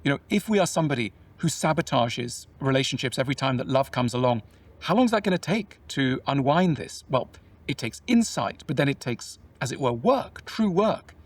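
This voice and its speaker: A man talking in a measured, explanatory way about relationships, often posing questions to the listener. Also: medium pitch, animated, distant mic. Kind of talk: reflective conversational talk.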